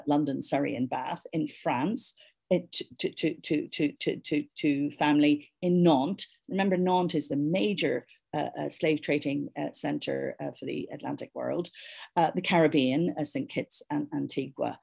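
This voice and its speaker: An older woman lectures over a video call, her voice low and gravelly with a husky edge. She speaks in a measured academic way, often pausing on fillers and restarting phrases. The sound is a webcam mic in a book-lined room. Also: darkish tone. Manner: measured, many fillers and restarts, asks for next slide. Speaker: keynote speaker, historian. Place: library room with bookshelves, indoors.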